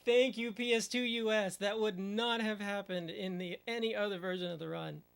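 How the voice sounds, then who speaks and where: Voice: medium pitch, fairly even intonation, moderate pace, creaky, clean tone. A speedrunner giving casual commentary over a run, muttering at the game and using mild minced oaths.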